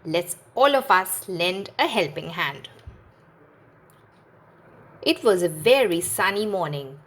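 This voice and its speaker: Brief: woman storyteller for children, unhurried, very lively pitch. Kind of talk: reading a picture book aloud.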